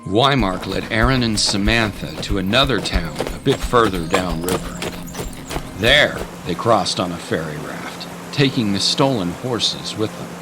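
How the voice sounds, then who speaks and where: A man narrates a dramatic scripted tale in a low voice. He takes it very slowly, with loud music playing behind him. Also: expressive.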